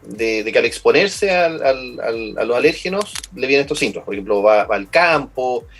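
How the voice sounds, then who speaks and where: A Chilean man speaking as a medical guest, his voice husky and a little breathy. He explains things patiently and often repeats words while he searches for the right phrase. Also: mid-range voice, expressive, moderate pace, a bit bright, soft background music, poor audio. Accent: Chilean Spanish. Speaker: pulmonologist guest. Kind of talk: explanatory interview answers.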